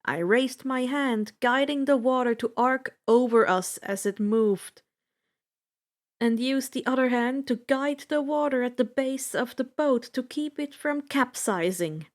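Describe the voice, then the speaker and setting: A woman with a high voice narrates adventure fiction. She takes her time, reading slowly and deliberately with expressive, dramatic delivery. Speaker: audiobook-style fan fiction reader. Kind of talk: dramatic first-person story narration.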